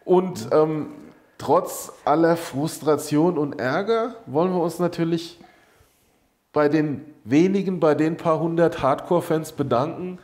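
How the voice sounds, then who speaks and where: A man's voice: a little high, unhurried, a little mellow. Blunt middle-aged man hosting a studio show. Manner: blunt, emphatic, occasionally crude, repeats key points. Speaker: show host. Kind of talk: casual studio talk show. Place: studio set with brick wall backdrop.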